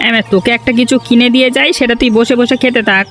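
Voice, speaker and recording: Cartoon casting: slippery coaxing uncle male performer, piercingly high, sharp-edged voice. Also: fairly even intonation, quick pace, faint music behind. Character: shifty village uncle, coaxing and apologetic. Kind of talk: cartoon dub acting.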